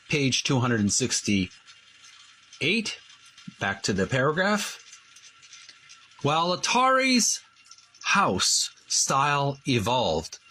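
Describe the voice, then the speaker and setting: A man reading aloud from a book as a host, with casual asides, stretching words and pausing mid-phrase. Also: on the high side, pushed and bright, slight background noise, low-quality recording. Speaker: host of a retro gaming book-reading channel.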